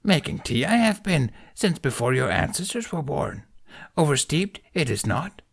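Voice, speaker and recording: A man doing a high, ancient, teasing alien sage. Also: very animated, moderate pace, gravelly, full character voice. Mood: chiding. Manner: inverted word order, chuckling. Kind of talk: fan audiobook reading.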